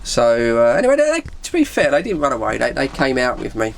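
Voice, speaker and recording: A man's voice: mid-range voice, brisk, gravelly. A middle-aged man, telling an anecdote casually and animatedly, acting out the dialogue. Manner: animated, acts out dialogue with put-on voices. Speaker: video storyteller.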